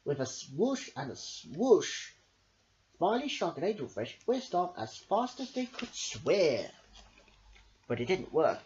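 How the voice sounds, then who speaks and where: A woman reads a picture book for children with theatrical emphasis. The voice is fairly low and swings widely in pitch, taking its time over the words. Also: sing-song, takes it slowly, a little mellow, highs cut off. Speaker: storyteller.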